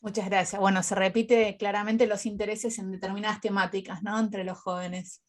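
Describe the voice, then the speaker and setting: A woman's voice: mid-range voice, level tone, moderate pace. Female conference moderator, mid-range voice, informal linking remarks on a video call. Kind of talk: informal moderating between presentations.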